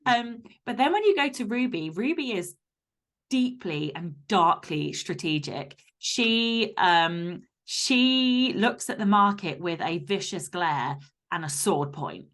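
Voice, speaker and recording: A woman's voice: medium pitch, expressive, slow, fairly bright tone. A woman speaking as an author guest in a casual interview, candid and emphatic, with some casual swearing.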